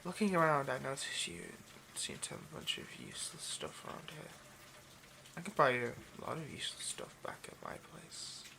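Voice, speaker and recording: A man playing a possessive, condescending rich vampire in an intimate ASMR roleplay, domineering and hushed, often slipping into a whisper. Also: fairly high pitch, moderate pace, gravelly, slight background noise, rough recording. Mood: smugly protective.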